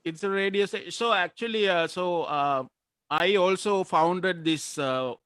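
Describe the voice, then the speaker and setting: Interview guest: man from Sri Lanka, slightly high, smooth voice, dry close sound, rambling talk. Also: measured pace, a bit bright, no room sound. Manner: loose, rambling, many fillers and restarts. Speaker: interview guest, music podcaster. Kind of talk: casual interview chat.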